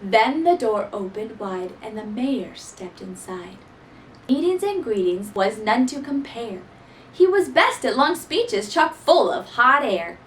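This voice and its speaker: A woman reading a rhyming children's story aloud in an expressive, theatrical style. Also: on the high side, slow, slight background noise. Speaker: storybook reader. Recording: voice somewhat distant from mic.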